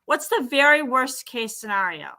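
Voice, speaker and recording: A woman guest on a talk show, chatting in an animated way and acting out what people say. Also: high voice, moderate pace. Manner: animated, acts out quoted speech. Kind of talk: casual chat.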